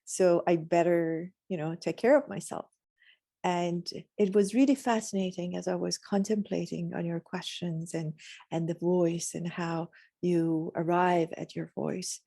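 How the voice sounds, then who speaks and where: A woman from Iran, telling her story reflectively in a measured, philosophical way as a guest. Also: lowish voice, fairly even intonation, creaky, warm tone, no echo, studio quality. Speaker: podcast guest.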